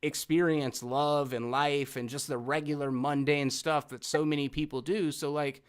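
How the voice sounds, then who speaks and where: A man's voice: a little high, steady tone, moderate pace, clear, resonant tone. A man co-hosting a film review in casual, informal talk with an emphatic, sweary way of speaking. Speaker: podcast co-host.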